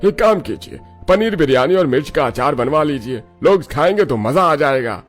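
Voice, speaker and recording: A man voicing men in a cartoon story, with lively, expressive delivery. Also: on the high side, normal speed, soft background music. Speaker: voice actor voicing several men. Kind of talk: cartoon dialogue.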